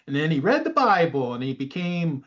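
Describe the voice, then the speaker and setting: A man's voice: on the high side, very animated, normal speed, a bit bright. A man on a panel casually retelling things in a wry, mock-quoting way. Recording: dull, lo-fi audio.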